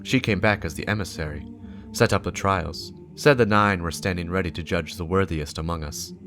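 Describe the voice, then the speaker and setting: A man's voice: deep voice, moderate pace, a bit bright. A man performing a stern, driven investigator who dictates clipped, hard-boiled field reports in a dramatic reading of game lore. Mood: businesslike, brooding. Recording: music behind the voice.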